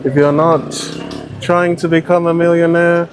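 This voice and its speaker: Man, middle-aged, measured even delivery, slightly higher voice, outdoor interview. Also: background noise. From Scotland. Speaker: rideshare driver, interview guest. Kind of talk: casual interview. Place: outdoors beside a car, after rain.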